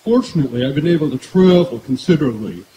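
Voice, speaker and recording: A man's voice: fairly high pitch, animated, fast talker, dark tone, soft background music. A man playing a polite, genteel, self-satisfied young heir in an old-time radio comedy, performed live outdoors. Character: polite, stuffy, well-bred young male heir. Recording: echoey outdoor stage, faint noise.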